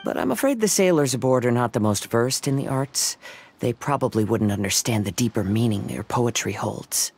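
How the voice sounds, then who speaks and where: An actor playing a calm, polite young male samurai wanderer in a video game, courteous and measured. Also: mid-range voice, slightly rough.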